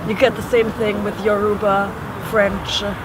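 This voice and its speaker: High, flat-voiced man from Trinidad. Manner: measured, thoughtful, erudite. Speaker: poet. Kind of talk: reflective conversation. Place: outdoors by a city waterfront with traffic. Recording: outdoor recording with traffic noise.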